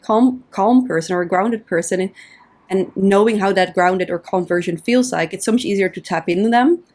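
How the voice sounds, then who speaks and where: A woman's voice: mid-range voice, a little mellow. Explanatory Dutch woman coaching guest. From Netherlands. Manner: explanatory, uses quick acted-out inner voices. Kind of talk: casual interview conversation.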